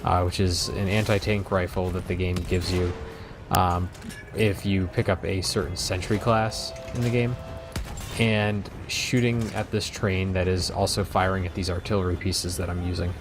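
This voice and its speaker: Low-voiced man chatting over a video game. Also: flat delivery, moderate pace, over music. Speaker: host of a video game let's play. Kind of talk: casual gameplay commentary and chat.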